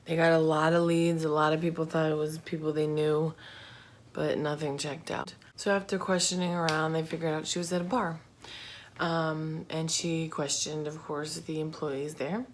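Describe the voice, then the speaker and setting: A woman telling a true crime story in a casual, informal, slangy and halting way. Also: deep voice, flat delivery. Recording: clear background noise.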